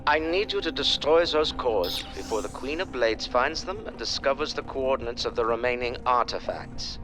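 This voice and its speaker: A man's voice: mid-range voice, lively intonation, normal speed, over music, close to an everyday voice. An actor playing an anxious male scientist making an earnest plea. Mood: pleading. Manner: earnest, pleading.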